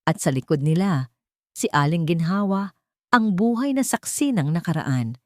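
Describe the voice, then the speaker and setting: A Filipino woman narrates a scripted drama in a lower-pitched voice. The recording is dry and close, with little room sound. Her delivery is that of a dramatic storyteller. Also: animated, normal speed, a little mellow, dry recording.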